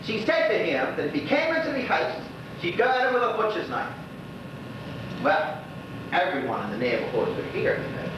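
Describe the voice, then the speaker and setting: An actor playing a lonely old widower in a stage monologue, chatting wistfully and rambling in a folksy Bermudian dialect. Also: male voice, on the high side, distant mic. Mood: animated, exasperated. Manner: rambling, folksy, gossipy storytelling. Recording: echoey stage recording from afar, noisy, dull.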